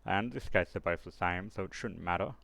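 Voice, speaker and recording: A man's voice: low voice, brisk, creaky, fairly bright tone. A man narrating an unscripted step-by-step screen tutorial, instructing and thinking aloud as he works. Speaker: tutorial host.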